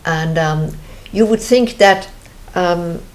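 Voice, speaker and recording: Swiss-accented woman, low voice. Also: takes it slowly. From Switzerland. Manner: earnest, restarts phrases often. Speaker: host. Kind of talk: conversational TV interview.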